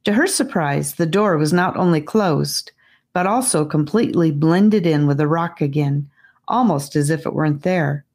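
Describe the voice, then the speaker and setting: A woman narrating a children's fantasy storybook with expressive, deliberate phrasing. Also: low-pitched. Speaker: audiobook narrator.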